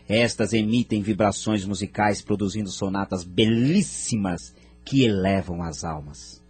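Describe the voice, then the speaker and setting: An actor playing a courteous, wise elder of an advanced alien people in an audio drama, speaking in a measured, formal way. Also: male voice, fairly low pitch, darkish tone, breathy edge.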